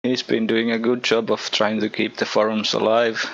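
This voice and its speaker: A man's voice: lowish voice, flat delivery, normal speed, a bit bright, lo-fi sound. A man hosting a podcast, chatting casually in a laid-back way and laughing between his words. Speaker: podcast host. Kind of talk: casual chat.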